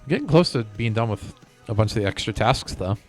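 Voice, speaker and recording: A man's voice: lowish voice, animated, fairly quick, darkish tone. A man chatting casually as he livestreams a video game. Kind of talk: casual gaming chat. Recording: music playing behind the voice. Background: music.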